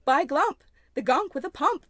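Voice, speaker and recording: A woman performs a chipper old-time radio pitchwoman. Her high voice sells eagerly in parody advert style, recorded dry and close. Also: expressive, moderate pace, dry recording.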